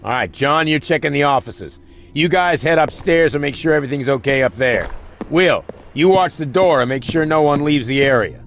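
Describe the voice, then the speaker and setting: An actor playing a hard, curt security squad leader giving orders in a video game. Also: male voice, fairly high pitch, expressive, normal speed, a little hiss or hum. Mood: commanding, urgent. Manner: commanding, curt. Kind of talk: scripted game dialogue, barking orders.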